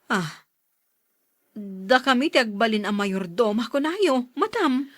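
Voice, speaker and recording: A woman's voice: a little high, lively intonation, slow, no room sound, clean studio sound. A woman playing an obliging, inquisitive worker's wife and mother in an audio drama, with a deferential manner.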